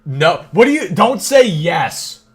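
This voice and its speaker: A man's voice: sits high, very animated, breathy edge. A young man hosting a casual live stream, joking with his chat and teasing his co-host. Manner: joking, teasing, quick banter with frequent laughs. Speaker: livestream host.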